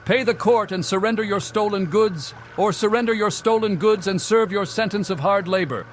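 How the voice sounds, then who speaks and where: A man voices a stern town guard in a video game. His voice sits high, and he speaks with threatening authority. Faint noise runs behind him. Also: normal speed, close to an everyday voice. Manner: commanding, threatening. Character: stern male town guard enforcing the law. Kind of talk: video game character dialogue.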